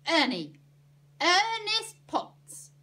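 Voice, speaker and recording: A woman's voice: medium pitch, sing-song, a little slow, a bit bright. A woman voicing a bossy, no-nonsense aunt with a firm, commanding manner. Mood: exasperated yelling. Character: bossy, no-nonsense aunt who lays down rules.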